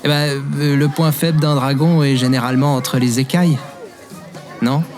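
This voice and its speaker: A man's voice: mid-range voice, steady tone, moderate pace, a little mellow, lightly acted. An actor playing a clumsy, good-hearted young man in a French audio drama, hesitant and boyish in his manner. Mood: earnest. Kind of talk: French audio fiction acting with narration. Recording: music behind the voice. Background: music.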